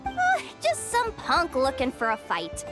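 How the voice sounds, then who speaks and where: High-voiced plucky young game heroine. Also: female voice, wildly swooping pitch, measured pace, over loud music, heavily acted. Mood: relieved. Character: plucky young woman event organiser, bluffing tough. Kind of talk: video game voice acting.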